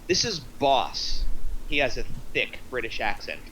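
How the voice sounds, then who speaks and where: A man's voice: mid-range voice, sing-song, a little slow, pushed and bright, slight background noise, rough recording. A man reading stage directions as the narrator of a screenplay table read.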